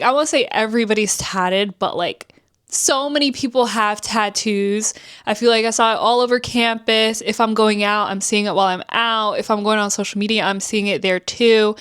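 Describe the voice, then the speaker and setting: A woman's voice: normal speed, fairly bright tone, clean tone. Chatty young woman vlogging. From New Jersey. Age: 20s. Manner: chatty, candid, acts out quotes with pitch swings. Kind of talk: casual vlog storytelling.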